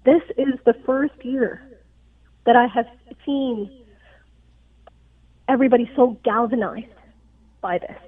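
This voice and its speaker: A woman's voice: a little high, measured pace, pauses often, breathy edge. A woman physician, originally from Pakistan, speaking as a radio interview guest in an earnest, explanatory way, often checking in with "right?". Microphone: phone call. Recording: tinny phone line.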